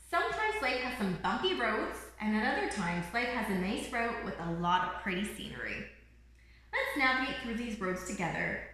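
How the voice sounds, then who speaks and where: Woman voicing a bright, cheerful little storybook bird. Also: sits high, voice pushed up, full character voice. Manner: bubbly, reassuring, exclamatory. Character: cheerful small bird, encouraging guide to children. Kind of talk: children's picture book read-aloud. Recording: roomy, echoing room sound.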